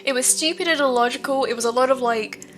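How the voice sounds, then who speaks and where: A woman streamer talks loosely to her chat, full of ums and likes, with a stuffed-up nose. Her voice sits a little high and sounds bright and crisp. Faint music plays underneath. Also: fast. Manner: rambling, many fillers, swears casually. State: stuffy nose from hay fever. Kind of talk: casual chat with viewers.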